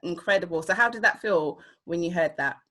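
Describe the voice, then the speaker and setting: A woman's voice: on the low side, fairly quick, fairly bright tone. A woman hosting a casual, chatty arts interview, gushing with praise as she talks. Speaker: show host.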